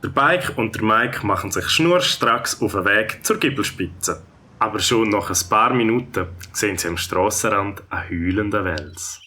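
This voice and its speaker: A man's voice: on the low side, animated, normal speed, pushed and bright. A man with a Swiss German accent narrating a scripted children's story.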